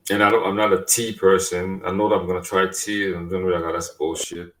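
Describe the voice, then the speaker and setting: A man's voice: deep voice, flat delivery, brisk, a bit bright. A man co-hosting a podcast, chatting casually in a rambling, profane, laid-back way. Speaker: podcast co-host. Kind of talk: casual chat.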